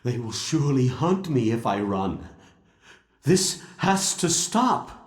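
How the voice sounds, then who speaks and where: A man's voice: medium pitch, big pitch swings, slow. A man playing an earnest, polite but resolute medieval monk, speaking formally in a video game cutscene. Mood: troubled. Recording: echoey stone hall reverb.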